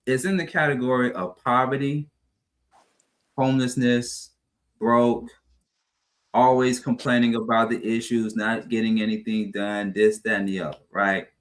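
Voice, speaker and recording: A man's voice: medium pitch, level tone, a little slow. A man who hosts a morning talk show and asks the group reflective questions in a casual tone. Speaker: broadcaster and host.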